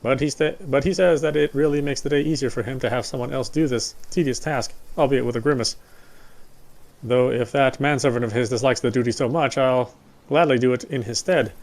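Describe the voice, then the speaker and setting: A man's voice: mid-range voice, steady tone, fairly quick, a little mellow. A man doing a live dramatic reading of first-person story narration from a game. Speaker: let's player reading game narration aloud. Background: faint music.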